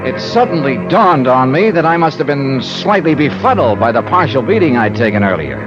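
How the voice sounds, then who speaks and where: A man acting a brisk, hard-boiled American private investigator in a 1950s radio drama, punchy and wisecracking. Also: medium pitch, very animated, natural voice. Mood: dry narration. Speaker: lead actor and narrator. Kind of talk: 1950s radio detective acting, first-person narration. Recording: muffled old radio recording, music behind.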